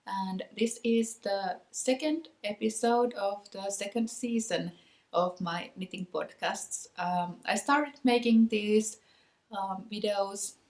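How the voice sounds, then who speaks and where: Slow-talking Finnish woman in an echoey room. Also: mid-range voice, darkish tone. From Finland. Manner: hesitant, many ums and restarts. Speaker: knitting podcast host. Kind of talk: casual chat to camera.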